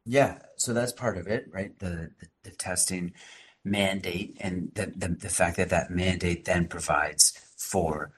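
A man's voice: sits low, steady tone, unhurried, breathy edge. A male teacher and activist speaking emphatically as a podcast guest, often adding "right?" and "like" as he explains. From Massachusetts. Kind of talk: conversational podcast interview.

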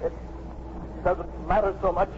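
Anguished young male, halting, very high-pitched, noisy vintage radio play. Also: little pitch movement. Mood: confused, dejected. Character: distraught, confused young man, wounded and defensive. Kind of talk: 1950s radio drama acting. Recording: muffled, noisy 1950s radio recording.

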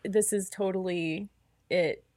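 A woman's voice: mid-range voice, takes it slowly, no room sound, clean studio sound. A woman in a band, talking casually and chattily in an interview, her sentences full of "like". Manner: chatty, animated, lots of "like". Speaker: band member, singer.

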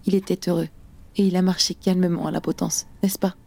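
A woman's voice: lowish voice, flat delivery, a little fast, creaky, dark tone, slightly rough. A woman playing a grieving, fiercely determined young revolutionary in an audio drama, speaking with insistent intensity. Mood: fierce, pleading. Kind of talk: audio drama acting in French. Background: music.

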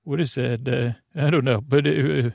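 A man hosting a bedtime podcast in a meandering, halting, whimsical monologue with a gravelly, creaky voice. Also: mid-range voice, fairly even intonation, darkish tone, dry recording, clean studio sound. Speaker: podcast host.

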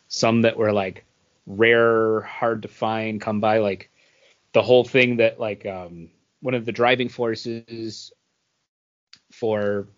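A man's voice: lowish voice, dull audio. A man co-hosting a podcast, chatting casually and bluntly about what he has read, with some casual swearing. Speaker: podcast co-host reviewing comics. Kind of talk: casual chat.

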